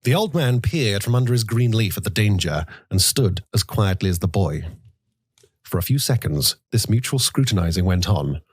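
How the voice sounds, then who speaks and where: A man narrating a literary audiobook in a measured, dramatic storytelling manner. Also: lowish voice, lively intonation, husky, no room sound.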